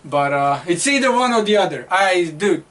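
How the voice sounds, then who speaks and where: A man's voice: sits high, animated, normal speed, fairly bright tone, recorded from a distance. A man co-hosting a casual movie review chat, rambling with lots of fillers and restarts. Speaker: co-host.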